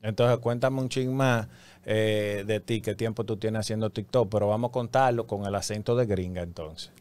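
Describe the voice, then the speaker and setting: A man's voice: fairly low pitch, steady tone, moderate pace, darkish tone, smooth. Encouraging male radio host asking questions. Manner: encouraging, frequent backchannel "ajá". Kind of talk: interview questions. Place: radio studio. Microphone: studio mic with headphones.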